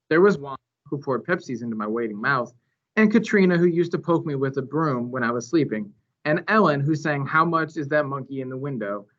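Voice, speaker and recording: A man reads a children's novel aloud as a storyteller. His phrasing is dramatic and his pitch swings widely through the narration. The recording is a little dull and lo-fi. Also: fairly bright tone. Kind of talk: expressive read-aloud narration.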